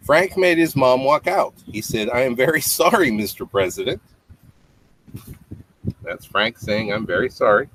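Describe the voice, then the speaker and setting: A man's voice: mid-range voice, animated, moderate pace, long pauses, fairly bright tone. A man in his fifties reading a children's bedtime story aloud, adding simple explanatory asides for young listeners. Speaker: storybook reader, parent. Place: small indoor home room with low tiled ceiling. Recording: clearly noisy home webcam audio with clicks.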